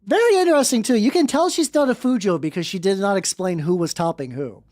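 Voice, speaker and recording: A woman's voice: medium pitch, animated, quick pace, darkish tone, dry recording. A woman VTuber streamer doing a comic fanfiction reading with playful, irreverent, chatty asides. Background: background music.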